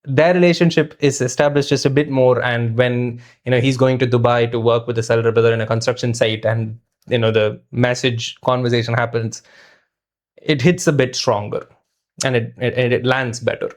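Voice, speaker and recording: A man's voice: medium pitch, fairly even intonation, moderate pace. Thoughtful man chatting through a film review. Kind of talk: conversational film review discussion.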